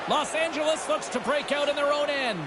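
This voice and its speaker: Sports play-by-play announcer, very high voice, clipped calls, loud crowd noise, video game broadcast. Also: male voice, brisk. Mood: focused. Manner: clipped, projected calls of the action. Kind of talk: ice hockey game broadcast commentary. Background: crowd and rink ambience.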